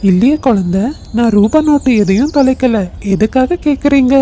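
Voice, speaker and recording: A woman voicing a calm, kindly male uncle bird in a children's cartoon. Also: high-pitched, normal speed, slight background noise, pitched below the performer's own voice. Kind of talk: children's cartoon story acting.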